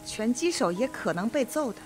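A man's voice: very high-pitched, big pitch swings, moderate pace, faint music behind. An actor playing a stern, formal old male judge in a radio drama. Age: older.

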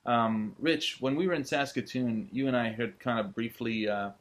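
A man's voice: medium pitch, normal speed. Man conducting a casual interview. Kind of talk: conversational interview. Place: small indoor tattoo studio room.

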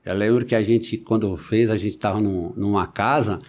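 A man in his forties with a Brazilian Portuguese accent, talking casually as a podcast guest into a close studio mic, haltingly, repeating words and adding little tags. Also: on the low side, steady tone, a little mellow. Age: 40s. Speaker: podcast guest, body-modification enthusiast. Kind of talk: casual conversational storytelling. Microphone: close studio microphone on boom arm.

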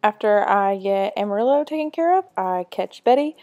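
A woman's voice: medium pitch, animated, normal speed, darkish tone, faint music behind. A young woman giving a casual, chatty voice-over for a vlog. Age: young adult. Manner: chatty, informal. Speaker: equestrian vlogger.

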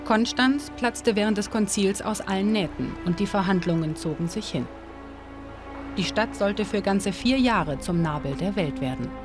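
A woman reading calm, measured scripted narration for a history documentary. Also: mid-range voice. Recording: studio voice-over with music behind.

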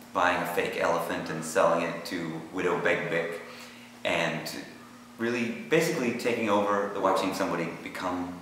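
A man with a very deep voice speaks as a theatre director explaining a play. His words ring in a very echoey hall with long reverb, over light background noise.

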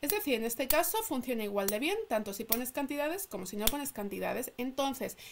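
A woman with a Castilian Spanish accent speaks in a very high voice. She gives an informal, chatty announcement as an online host. Her delivery is emphatic, with questions she answers herself. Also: lively intonation, moderate pace, background noise. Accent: Spanish from Spain. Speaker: tarot reader and channel host. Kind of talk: casual chatty announcement to followers. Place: indoors at a table.

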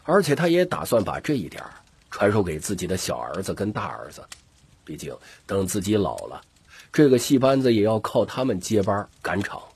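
A man with a gravelly, husky voice narrating an audiobook story expressively. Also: on the low side, big pitch swings, brisk, a little mellow.

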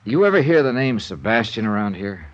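An American man playing a wry, brisk insurance investigator with a dry, clipped manner in a 1950s radio crime drama. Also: fairly low pitch, sing-song. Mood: dryly impatient. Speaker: lead actor and first-person narrator. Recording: muffled old radio recording with music.

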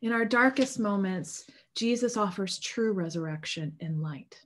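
A woman's voice: mid-range voice, unhurried. A woman in her thirties, a priest, preaching a sermon from home over a video call in a casual, earnest way, with lots of fillers and restarts. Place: home room with bookshelves. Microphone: video call webcam.

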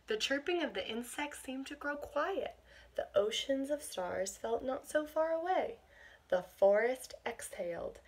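High-voiced young American woman reading to children. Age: 20s. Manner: expressive, encouraging, addressing young listeners. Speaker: teacher reading aloud to children. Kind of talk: children's picture-book read-aloud with asides. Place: small bedroom indoors. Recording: clear background noise, home camera microphone.

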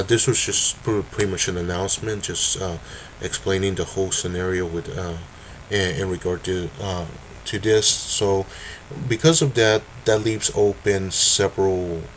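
A young man making a casual webcam announcement from a dim room, talking haltingly with many fillers and restarts. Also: low-pitched, level tone, a little hiss or hum, rough recording. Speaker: video creator.

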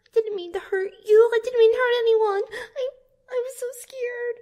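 A woman voicing a gentle, guilt-ridden child in a cartoon dub, stammering tearful apologies. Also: very high-pitched, fairly even intonation, moderate pace. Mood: tearful apology. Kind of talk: cartoon comic dub acting.

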